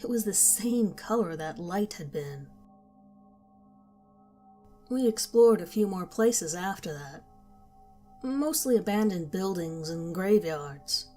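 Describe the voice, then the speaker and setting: A woman's voice: lowish voice, animated, takes it slowly, pauses often, dark tone. Woman narrating a horror story. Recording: faint background music.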